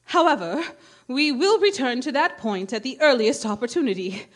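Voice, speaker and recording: An actress playing a composed radio studio announcer reading bulletins in a formal, measured way for a radio drama. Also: female voice, sits high, lively intonation. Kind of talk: 1930s radio news announcing.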